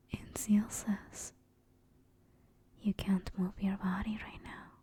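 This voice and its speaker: A woman playing a female sleep paralysis demon in a whispered ASMR roleplay, soft, intimate and breathy. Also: mid-range voice. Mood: menacing, teasing. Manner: soft, intimate, teasing then soothing. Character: female sleep paralysis demon, teasing menace turning apologetic and tender.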